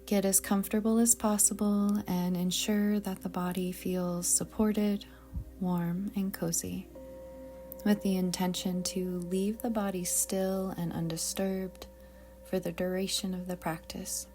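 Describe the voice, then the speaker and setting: A woman leads a guided meditation in a mellow, very even voice. She is soft and breathy, often slipping toward a whisper, with a soothing, deliberate manner. Faint music sits behind her. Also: medium pitch. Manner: calm, soothing, gentle, deliberate. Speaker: yoga nidra meditation guide. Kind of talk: slow guided meditation narration.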